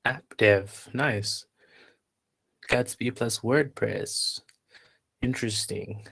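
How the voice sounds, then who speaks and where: Slow, low-key man with a creaky voice. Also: a bit bright. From Zambia. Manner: unhurried, halting, reading out chat replies. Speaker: workshop instructor and host. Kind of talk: casual live online workshop welcome, chatting with attendees.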